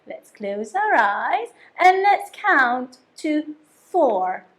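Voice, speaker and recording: A woman with a high, lively voice reads a story to young children. She takes it very slowly, in an expressive sing-song, and pauses to coax them with simple questions, as a teacher does.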